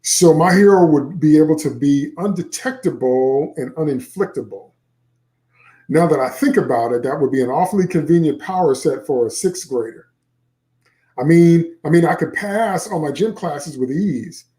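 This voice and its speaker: A man's voice: on the high side, lively intonation, normal speed, warm tone, reverberant, lightly acted. A man voicing a smart-mouthed, geeky sixth-grade boy from a children's novel, chatting wryly through his rambling thoughts. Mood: musing, geeky. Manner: chatty, wry, rambling inner monologue. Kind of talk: first-person children's novel read aloud.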